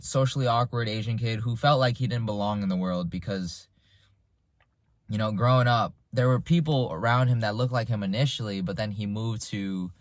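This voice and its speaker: A man's voice: on the low side, no echo, studio quality. A man in his twenties, raised in the United States, talking through his thoughts in a loose, reflective monologue with frequent restarts. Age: 20s. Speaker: vlogger. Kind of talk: casual unscripted monologue.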